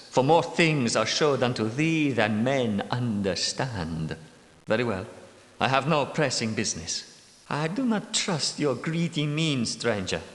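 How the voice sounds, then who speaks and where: Brief: a secretive, centuries-old knight, weary and bitter, middle pitch, long echo. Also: male voice, a bit bright. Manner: world-weary, bitter, measured. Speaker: game character. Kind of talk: game character voice lines. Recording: echoey reverberant room.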